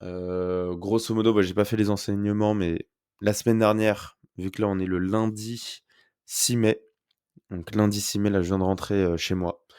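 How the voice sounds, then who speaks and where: A man's voice: on the low side, fairly even intonation, normal speed, darkish tone, clear, resonant tone, no echo, clean studio sound. A young man hosting a casual solo podcast from home, talking through a blocked nose with a sore throat, chatty and upbeat with many fillers. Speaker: podcast host. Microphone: close podcast mic.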